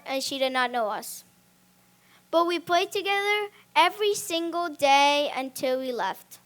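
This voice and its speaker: An eleven-year-old girl from Spain giving a memorized talk on stage, with dramatic pauses between phrases. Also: high voice, fairly bright tone. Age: child, 11. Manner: slow, deliberate delivery with dramatic pauses. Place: stage before a live audience.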